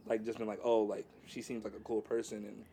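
A man's voice: on the low side, little pitch movement, brisk, creaky, warm tone, a little hiss or hum. A man in his thirties chatting casually about dating, laid-back and rambling with lots of fillers. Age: 30s. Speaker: guest.

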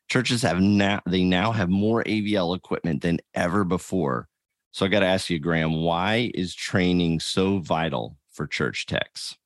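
A man's voice: low-pitched, no room sound, studio quality. A man hosting a podcast, talking in a casual, upbeat way as he introduces his guest. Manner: casual and upbeat, reads ad copy. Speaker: podcast host. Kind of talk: podcast interview.

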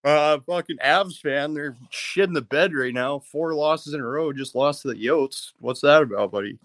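A man in his twenties calls in to a live show, blunt and swearing through every sentence. His voice sits a little high, and the sound is dry. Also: normal speed, no room sound. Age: 20s. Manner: profane, blunt, excitable. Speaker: caller. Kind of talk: casual chat.

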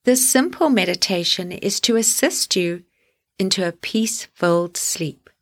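A woman hosting a guided sleep meditation, speaking gently and soothingly in deliberate phrases with long pauses. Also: mid-range voice, animated.

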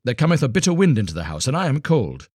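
A man voicing a poor woodcutter's wife, sharp and fretful, her complaints climbing high. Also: sing-song, fast, slightly rough, dry recording, clean studio sound. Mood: bitter. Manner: complaining, rising to shrill protest.

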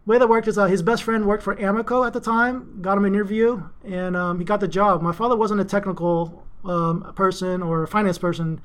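A man's voice: high voice, fairly even intonation, brisk, few pauses. A middle-aged man, a finance executive raised in Texas, telling rambling stories with many ums in a casual interview. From Greece, raised in Houston, Texas. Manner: chatty, rambling, frequent ums.

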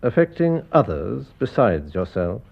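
An actor playing an eminent English physician in a radio drama, formal and authoritative, with a Received Pronunciation accent. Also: male voice, fairly low pitch, big pitch swings, creaky, breathy edge, muffled recording, heavily acted. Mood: measured, probing. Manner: measured, formal, authoritative bedside manner. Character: eminent Harley Street physician, smooth, measured, authoritative man. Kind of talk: classic radio drama acting.

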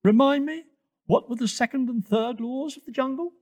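A man's voice: very high-pitched, moderate pace, darkish tone, voice pushed up. A man voicing a bossy but caring giraffe friend in a children's story, firm and kindly. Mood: scolding. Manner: firm, scolding yet kindly.

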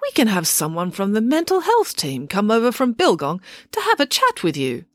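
A woman voicing a very old, deaf male country doctor who talks slowly and kindly. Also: a little high, wildly swooping pitch, dry recording, clean studio sound, voice pushed up, exaggerated voice. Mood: doddering. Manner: slow, kindly, doddering.

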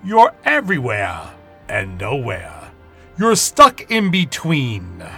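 Dude doing a smug gate guardian spirit, slow and gravelly, chuckly storybook creature. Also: male voice, mid-range voice, wildly swooping pitch, sharp tone, soft background music, heavily acted. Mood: amused. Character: amused, mocking gatekeeper spirit, Guardian of the Gate.

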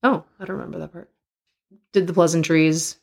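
Hoarse low-voiced woman. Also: fairly even intonation, fairly quick. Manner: frank, laughs often. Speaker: podcast co-host. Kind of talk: casual chat.

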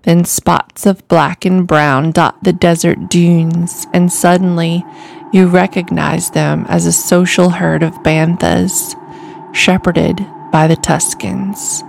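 A woman calmly reading a scripted guided imagery meditation in a soothing, deliberate way. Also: on the low side, vocal fry, slight background noise, low-quality recording. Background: music.

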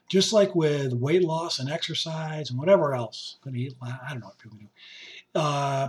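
Man, hoarse, raspy, fairly high voice, rambling jokey podcast host. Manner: rambling, joking, talks to his musician. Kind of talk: casual monologue. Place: basement home studio.

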